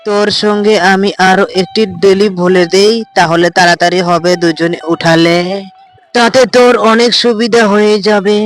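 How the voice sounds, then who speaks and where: A voice actor playing a frail elderly village mother in a cartoon, talking slowly and fretfully. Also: medium pitch, steady tone, faint music behind, natural voice. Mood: coaxing. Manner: slow, fretful, gently scolding. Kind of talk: cartoon voice acting.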